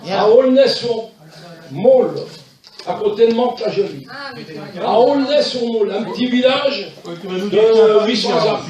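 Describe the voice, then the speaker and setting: A man's voice: high-pitched, slow, long pauses, darkish tone, heavy reverb, recorded from a distance. A man chatting at a party, telling a story in a loose, anecdotal way. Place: large echoey party hall.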